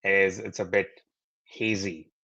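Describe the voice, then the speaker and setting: Casting: low-voiced guest from India, earnest explainer, muffled lo-fi call sound. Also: male voice, little pitch movement, slow, vocal fry, pushed and bright. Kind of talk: interview answers.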